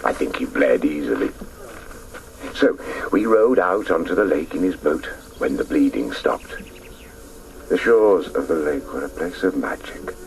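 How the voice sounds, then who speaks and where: An actor playing a reflective grown man who recalls his boyhood in lyrical, intense radio play narration, at times hushed. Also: a bass voice, creaky, full character voice, low-quality recording.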